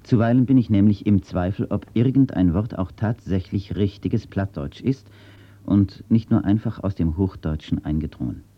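A man, a writer, reading his own words and reciting nature poetry in a slow, measured, solemn way, from an old archive recording. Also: on the low side, muffled recording. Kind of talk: poetry recitation and read-out statements.

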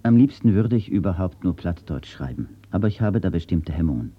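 A man, a writer, reading his own words and reciting nature poetry in a slow, measured, solemn way, from an old archive recording. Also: low-pitched, big pitch swings, slightly rough, highs cut off. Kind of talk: poetry recitation and read-out statements.

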